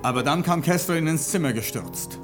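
An actor playing an anguished, evasive husband confessing in a radio crime drama, speaking in a halting, defensive way. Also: male voice, fairly high pitch, music in the background, close to an everyday voice. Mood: agitated, defensive. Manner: halting, defensive, repeating words.

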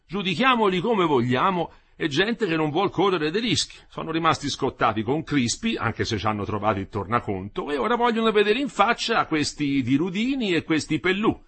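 A smooth-talking middle-aged contractor, as a man reads him aloud, lifts his husky voice into a persuasive speech. The pitch swings a lot as he coaxes and reassures. He sounds paternal and sure of himself. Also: sing-song, moderate pace, hardly any pauses, fairly bright tone, full character voice.

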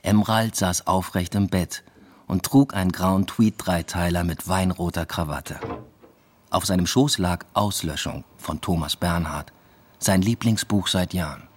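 A man playing an introspective, uneasy academic who narrates his own story in a measured, subdued radio drama style. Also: deep voice, breathy edge. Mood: brooding, reflective. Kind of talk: literary first-person radio drama narration.

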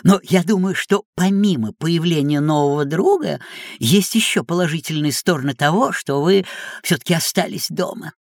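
A woman's voice: sits low, moderate pace, dry recording, clean studio sound. A woman playing a kindly, encouraging teacher who guides children through stories in a scripted educational audio drama. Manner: expressive, encouraging.

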